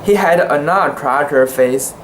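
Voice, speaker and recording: Slow, halting amateur story reader. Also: medium pitch, a little hiss or hum, rough recording. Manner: halting, careful word-by-word delivery with stumbles. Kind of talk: dramatic reading of a literary short story.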